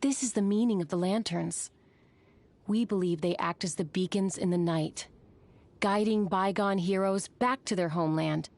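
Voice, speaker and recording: A woman's voice: medium pitch, moderate pace, darkish tone, close to an everyday voice. A woman voicing a polite, friendly innkeeper in a video game, explaining things courteously. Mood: informative. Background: music.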